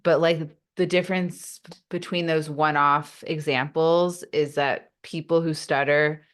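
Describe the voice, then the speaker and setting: A woman who stutters speaks as a podcast guest, her voice sitting deep and her pace slow. She talks reflectively, filling pauses, and catches on opening sounds before pushing through. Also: fairly even intonation, a bit bright. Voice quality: stutters, with repeated sounds and blocks. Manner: open and reflective, with many fillers. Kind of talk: casual interview talk.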